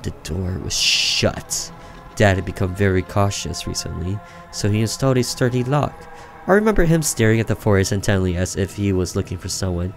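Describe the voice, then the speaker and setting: A man with a fairly low voice narrates a horror tale. His delivery is theatrical and suspenseful, slowing for effect. Soft music plays behind him. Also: animated, vocal fry. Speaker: streamer reading a visual novel aloud. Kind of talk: dramatic narration of a horror story.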